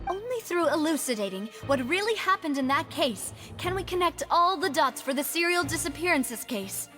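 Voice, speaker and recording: A woman's voice: sits high, animated, normal speed, fairly bright tone, soft background music. An actress playing a determined young woman accuser in a video game, speaking earnestly and firmly. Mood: resolute. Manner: earnest, firm, articulate. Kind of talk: scripted game dialogue.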